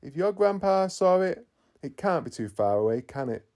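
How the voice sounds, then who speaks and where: Guy doing an upbeat curious boy hero for a bedtime story, higher pitch, very animated. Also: normal speed. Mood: eager, confident. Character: eager boy Pokémon trainer, upbeat and curious.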